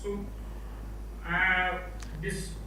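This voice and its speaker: Echoey, high-pitched man asking haltingly. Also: level tone, bright, forceful tone. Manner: halting, searching for words. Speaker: student asking a question. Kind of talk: hesitant class question. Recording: very echoey, distant, faint noise.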